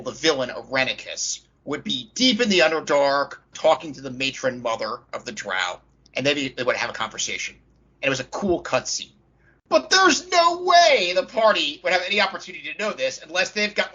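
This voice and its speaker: A man with a sharp, forceful and raspy voice chats as a podcast co-host, wandering off into casual asides. The audio is a little dull and lo-fi. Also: a little high. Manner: chatty, digressive. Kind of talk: casual chat about games.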